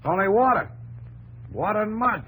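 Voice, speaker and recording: Blunt, high-voiced drilling hand. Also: male voice, expressive, normal speed, bright, forceful tone, slightly rough, heavily acted. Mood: flat disappointment. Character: blunt, skeptical male oil drilling hand. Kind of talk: 1950s radio drama acting. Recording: faintly noisy 1950s radio broadcast.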